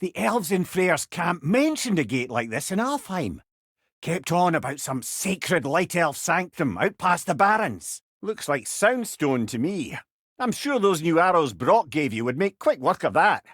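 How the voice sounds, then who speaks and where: A man's voice: on the high side, sing-song, measured pace, a bit bright, breathy edge, no room sound, clean studio sound, full character voice. A man playing a witty, avuncular old Scottish counsellor in a video game, a severed head who talks in a wry, courtly, chatty way with salty turns of phrase. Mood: explaining. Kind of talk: video game character dialogue.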